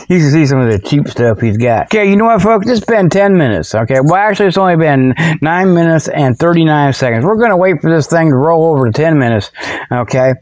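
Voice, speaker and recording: Folksy joking man, higher-pitched, dry sound. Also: darkish tone, no echo. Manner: folksy, jokey, self-amused with frequent chuckling. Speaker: host of a comedic paranormal report show. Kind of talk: rambling casual humorous monologue. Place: outdoors behind his garage.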